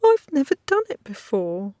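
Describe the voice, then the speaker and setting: A woman's voice: high voice, very animated, unhurried, darkish tone, no echo, clean studio sound, voice pushed up, heavily acted. A reader voicing a frightened young servant girl, pleading and crying. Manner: pleading, trembling.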